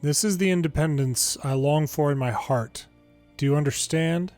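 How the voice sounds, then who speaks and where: A man's voice: on the high side, normal speed, darkish tone. A man reading video game story dialogue aloud in the manner of an amateur longplay narrator. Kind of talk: reading game story text aloud, casual commentary asides. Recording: game music under the voice. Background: video game music.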